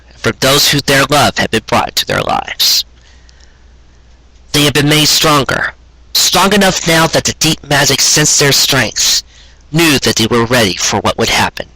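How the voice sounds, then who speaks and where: Husky man reading a romantic story. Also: mid-range voice, sharp tone, dry recording, poor audio. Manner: earnest, sentimental storytelling. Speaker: narrator reading fan fiction. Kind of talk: scripted story narration.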